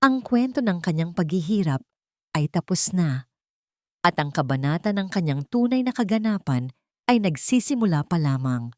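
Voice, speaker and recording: Woman, Filipino accent, lower pitch, dry sound, scripted dramatic storytelling, sentimental. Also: very animated, moderate pace, mellow, no room sound. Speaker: narrator of Tagalog love stories.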